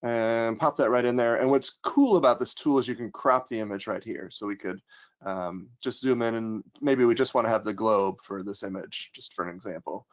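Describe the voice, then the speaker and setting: An American man working as a trainer, casually walking teachers through software in a webinar, with frequent ums and restarts. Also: fairly low pitch, moderate pace. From United States. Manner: casual, many ums and restarts, upbeat when introducing topics. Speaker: trainer and pedagogical consultant. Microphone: computer mic on a video call. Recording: slightly dull webinar audio.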